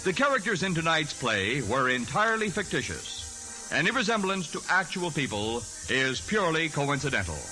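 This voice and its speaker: High-pitched, animated vintage radio announcer, male, over music. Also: moderate pace, low-quality recording. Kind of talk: formal 1940s broadcast announcing. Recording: old 1949 radio recording.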